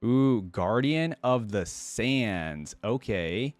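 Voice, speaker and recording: Deeper-voiced man doing casual reaction commentary. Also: expressive, very slow, dry recording, faint music behind. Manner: informal, thinking aloud. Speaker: reaction video host.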